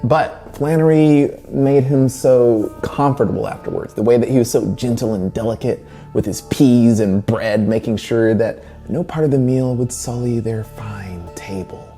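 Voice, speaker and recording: A man's voice: mid-range voice, measured pace, hardly any pauses, mellow, faint music behind. A man presenting a semi-scripted literary analysis in a conversational way, with wry asides. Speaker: presenter reviewing a short story.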